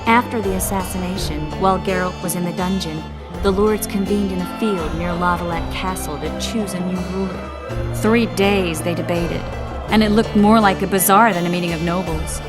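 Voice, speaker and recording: A woman playing a composed, worldly sorceress in a video game, measured and articulate. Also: on the low side, steady tone, over loud music, natural voice. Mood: composed, informative.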